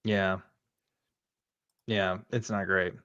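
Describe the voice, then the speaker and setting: A man's voice: low voice, level tone, very slow, fairly bright tone, clear, resonant tone. Male co-host, casual and sardonic reaction commentary, prone to mock quotes, slightly lo-fi sound with no room echo. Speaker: show co-host.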